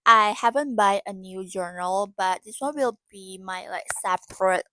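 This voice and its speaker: A woman in her twenties, a native Indonesian speaker, talking through her goals in casual, halting English and often searching for words. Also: mid-range voice, sharp tone, no room sound, low-quality recording. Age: 20s. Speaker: vlogger. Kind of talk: casual vlog talk about goals.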